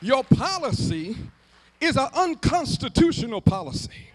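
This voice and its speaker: Very high-pitched American man preaching with fire. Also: sing-song, measured pace. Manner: emphatic, rhythmic repetition for rhetorical effect; swings from hushed lines to shouted, half-sung cries. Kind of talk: impassioned sermon to a live gathering. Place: live public event before a gathering.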